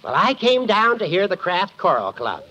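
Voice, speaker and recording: An actor playing a genial, teasing old judge in a 1950s radio sitcom. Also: male voice, high-pitched, huge pitch swings, normal speed, pushed and bright, faint music behind, heavily acted. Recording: muffled, noisy vintage radio recording.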